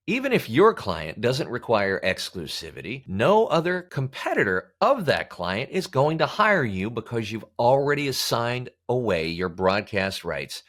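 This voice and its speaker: American male instructor, lower register, very varied intonation, emphatic scripted explainer delivery. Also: fairly bright tone. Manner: emphatic, punchy delivery with pointed pauses. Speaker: voice actor teaching about auditions. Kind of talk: scripted instructional video narration.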